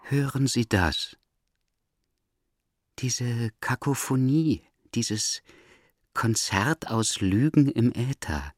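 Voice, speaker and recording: An actor playing a brooding, paranoid man in a theatrical monologue, speaking with halting, conspiratorial intensity about lies all around. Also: mid-range voice, animated. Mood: eerie, urgent.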